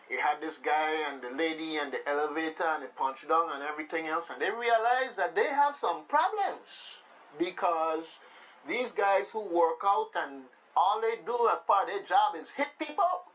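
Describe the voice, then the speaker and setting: Male radio host, voice a touch high; loose, emphatic chat, a little off-mic. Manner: animated, emphatic, repeats phrases for effect. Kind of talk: casual, rambling talk show chat. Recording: slightly distant mic with faint noise.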